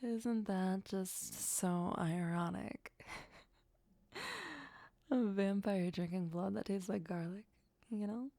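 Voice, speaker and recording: A woman playing a possessive, sweetly menacing vampire girlfriend who is centuries old, in a soft, intimate ASMR roleplay, mostly whispered and close to the microphone. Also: mid-range voice, lively intonation, slow, long pauses, no echo. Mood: playful, musing. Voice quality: breathy, soft. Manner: coaxing, darkly playful, obsessive. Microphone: close, dry microphone.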